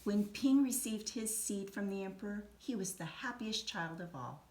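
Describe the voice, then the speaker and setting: A woman reading a children's story aloud in an expressive, deliberate storytelling manner. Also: medium pitch. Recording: roomy echo, some background noise.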